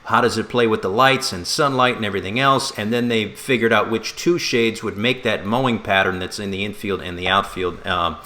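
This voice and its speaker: A man co-hosting a sports talk show, speaking casually in a conversational, halting way with restarts. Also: on the low side, steady tone, sharp tone.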